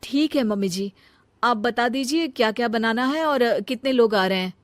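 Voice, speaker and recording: A woman voicing a dutiful, sensible elder daughter-in-law in a cartoon family drama, earnest, expressive and chiding. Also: a little high, moderate pace, dry recording, close to an everyday voice. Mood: obliging.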